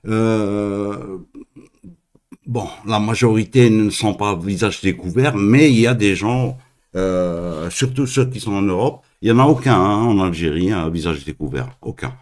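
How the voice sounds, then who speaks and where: Slow, low-voiced hesitant male host. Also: a little mellow. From Algeria. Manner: hesitant, halting, many fillers and restarts. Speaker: host of a live call-in stream. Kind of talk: live call-in talk.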